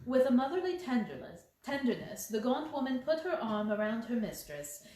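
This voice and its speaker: A woman's voice: on the high side, sing-song, normal speed, mellow. A woman reading a story aloud as scripted audiobook narration. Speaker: audiobook reader. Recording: very echoey, reverberant room.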